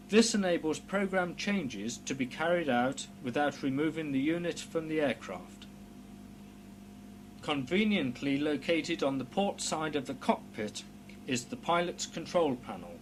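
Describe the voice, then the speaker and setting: Formal male training-film narrator, noisy hum. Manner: formal, measured, precise explanatory delivery. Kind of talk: scripted instructional narration. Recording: clear background noise with low hum.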